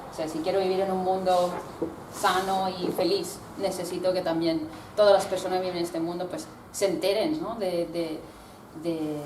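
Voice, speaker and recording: A woman from Italy gives a talk, presenting slides and reflecting as she goes. She hesitates often, filling gaps while she searches for words. Her voice comes from a distance, in a reverberant room with steady background noise. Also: on the low side. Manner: thoughtful, searching for words with many fillers. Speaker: researcher giving a talk.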